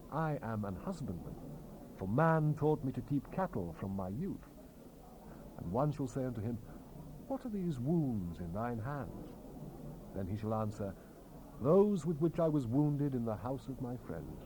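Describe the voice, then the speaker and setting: A man's voice: medium pitch, big pitch swings, unhurried. A man giving a solemn, declamatory dramatized reading of King James scripture, in the manner of a prophet, on an old cassette recording. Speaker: scripture narrator. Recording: hissy 1970s cassette rip, ambient sound behind.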